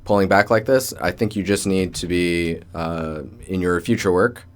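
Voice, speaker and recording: Low-voiced young man chatting on stream. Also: steady tone, normal speed. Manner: joking, rambling, colloquial. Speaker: livestream host, digital artist. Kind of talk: casual livestream chat and portfolio critique. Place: indoor room with door closed.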